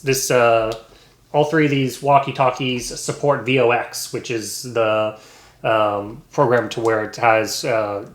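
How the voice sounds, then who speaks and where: A man's voice: medium pitch, fairly bright tone. Man reviewing a product in an echoey room. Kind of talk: casual unscripted product review. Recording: echoey, roomy sound.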